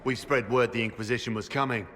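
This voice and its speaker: A man's voice: mid-range voice, quick pace, a bit bright, big-room sound, a little hiss or hum, close to an everyday voice. A man playing a sober, dutiful scout in a video game, reporting plainly to his leader. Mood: businesslike, concerned. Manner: plain, businesslike.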